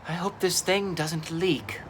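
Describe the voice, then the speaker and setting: A man's voice: on the high side, expressive, slow, a bit bright, pitched above the performer's own voice. A man puts on an anxious young female dinosaur from a storybook. The voice is raised and swoops about, tentative yet game. Some background noise is present. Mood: uneasy.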